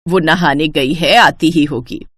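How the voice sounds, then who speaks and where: A woman's voice: low-pitched, unhurried, fairly bright tone, slight background noise, voice pushed down. A woman voicing a simple, mild older village mother-in-law in a storybook tale, homely and naive. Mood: plain, curious. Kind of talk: storybook acting.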